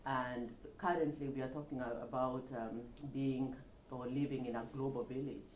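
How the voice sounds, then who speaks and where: A woman, a professor and nonprofit founder, answering interview questions in an earnest, measured, lecturing way. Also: a bass voice, steady tone, darkish tone. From Kenya. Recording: roomy echo, faint background noise.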